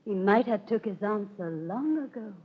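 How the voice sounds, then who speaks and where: An actress playing an aloof, melancholy countess with measured, stately 1930s radio Shakespeare delivery. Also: female voice, mid-range voice, lively intonation, lightly acted. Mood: aloof, regretful. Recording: dull old radio, music behind.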